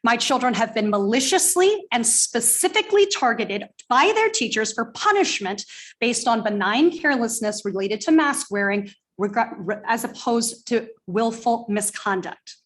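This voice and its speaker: Loud, firm woman at a podium mic. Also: fairly high pitch, animated, normal speed. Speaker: parent giving public comment. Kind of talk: reading a prepared public statement. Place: school board meeting room. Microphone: podium microphone.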